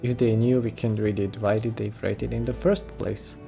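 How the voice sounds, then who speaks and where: A man's voice: fairly low pitch, fairly even intonation, normal speed, gravelly, warm tone. A man doing casual Let's Play commentary, giving short offhand reactions as if half talking to himself. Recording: game music behind the voice.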